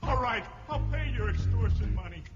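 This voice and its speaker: Man playing an agitated, high-pitched extortion victim. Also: lively intonation, moderate pace, big-room sound, dull audio, loud music behind the voice. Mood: outraged. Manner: agitated, indignant. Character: outraged circus owner giving in to extortion.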